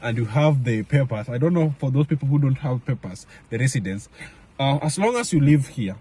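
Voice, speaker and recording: A young man from Kenya talks casually to his camera, stopping often to restart his thoughts with plenty of fillers. His voice has a husky, slightly breathy texture at an average pitch. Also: animated, mellow. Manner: halting, many fillers and restarts. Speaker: vlogger. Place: home in Madrid area.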